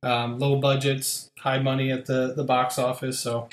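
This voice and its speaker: A man's voice: mid-range voice, completely flat delivery, normal speed, reverberant. A man hosting a podcast, chatting casually and upbeat with lots of fillers. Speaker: podcast host.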